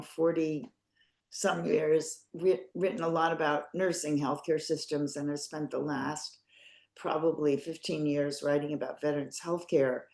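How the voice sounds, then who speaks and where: Woman with a cold, kinda hoarse, lowish voice, echoey room on a video call. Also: darkish tone. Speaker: author and policy analyst. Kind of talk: panel talk.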